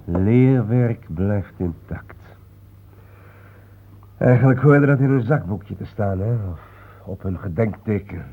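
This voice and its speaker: An actor playing a studious, reflective male traveller in a Dutch radio play, speaking in a measured, hesitant way. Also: on the low side, animated, slightly rough, phone-quality sound, heavily acted. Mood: musing.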